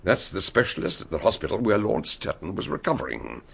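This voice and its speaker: An actor playing a shrewd male detective who calmly lays out how the crime was solved in a radio drama. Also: low voice, creaky, slightly rough, heavily acted. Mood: explaining. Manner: explaining, measured.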